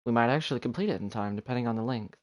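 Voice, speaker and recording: A man's voice: mid-range voice, racing. A man giving casual live gameplay commentary as a streamer, in short offhand remarks. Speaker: game streamer. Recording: noisy live stream mic with clicks.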